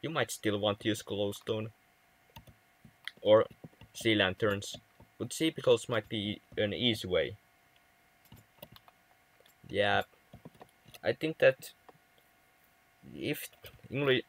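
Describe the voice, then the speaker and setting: A man's voice: fairly low pitch, takes it slowly, pauses often, a bit bright, rough recording. A young man from Finland with a Finnish accent, chatting casually with viewers on a game livestream, often stumbling and restarting words. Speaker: livestream gamer.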